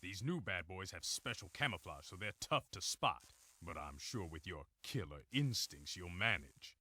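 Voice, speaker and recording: A man's voice: sits low, expressive, normal speed, bright, forceful tone, husky, heavily acted. A man voicing a wry, tough commander in a video game, giving orders in a sardonic way. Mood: sardonic briefing. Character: wry, tough male commander handing out missions. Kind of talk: video game cutscene acting.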